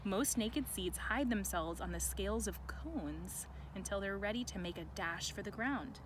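A woman reads a children's book aloud in an expressive, deliberate way, adding chatty asides. Her voice sits at a middle pitch. She is recorded outdoors on a camera, with clear ambient noise behind her. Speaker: educator reading a picture book aloud to children. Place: outdoors in a sunny farm garden. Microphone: camera held by another person nearby.

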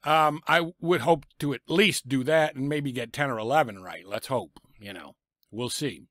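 A middle-aged man with a raspy voice from a lingering cough, giving blunt, sarcastic football picks from a small home room on a desk microphone. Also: mid-range voice, moderate pace, a bit bright, no room sound, studio quality. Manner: blunt, sarcastic about teams and coaches. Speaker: sports picks vlogger. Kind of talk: casual football picks commentary. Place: small home room full of sports memorabilia. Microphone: desk microphone in front of him.

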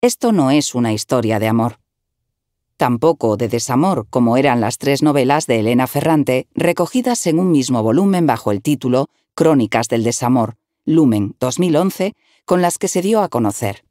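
Woman calmly reading an article aloud. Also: a bass voice, normal speed, no room sound, clean studio sound. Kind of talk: scripted article narration.

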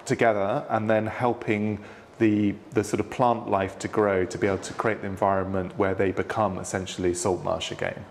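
A man hosting a relaxed sit-down interview, prompting his guest with curious questions and eager affirmations. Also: on the low side, normal speed, creaky, slight background noise. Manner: encouraging, frequent affirming interjections. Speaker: interview host. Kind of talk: conversational interview. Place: hotel lounge, seated on a sofa indoors.

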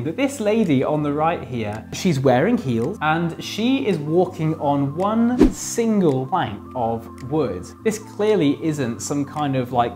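Man teaching a drama lesson. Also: mid-range voice. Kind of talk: informal lesson to camera. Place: living room at home, indoors.

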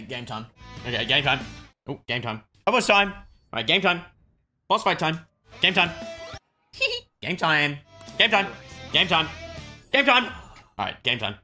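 An excitable video game streamer chatting exclamatorily on a livestream. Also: medium pitch.

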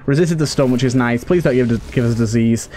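A man's voice: medium pitch, a little fast, warm tone, slight background noise. A man giving casual let's play commentary, muttering to himself as he thinks through his moves. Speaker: gaming video host. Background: game music.

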